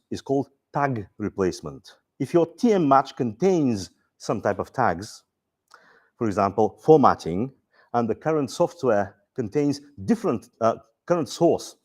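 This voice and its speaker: Bulgarian-accented man presenting software. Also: medium pitch. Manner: relaxed, dry humor, frequent restarts and fillers. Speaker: presenter at a software user conference. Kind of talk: conference presentation.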